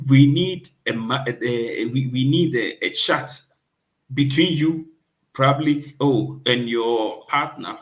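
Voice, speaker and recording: A man's voice: medium pitch, slow pace. A man hosting a casual chat, jumping in with asides and quoting people's excuses. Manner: chatty, interjects with asides and quoted examples.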